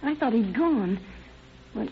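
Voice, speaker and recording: An actress playing a polite, anxious but firm young shop clerk in an old radio drama. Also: female voice, on the high side, expressive, takes it slowly, dull audio. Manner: courteous, apologetic, earnest. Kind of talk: 1940s radio crime drama acting. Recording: thin band-limited old radio recording, hiss.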